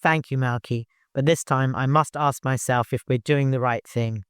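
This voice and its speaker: A reserved working man, voiced by a male narrator, speaks bluntly and earnestly, with a troubled air. The storybook voice is medium in pitch and recorded dry. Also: steady tone, moderate pace, clear, resonant tone, no echo, natural voice. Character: reserved, troubled, plain-spoken working man, caravan guide.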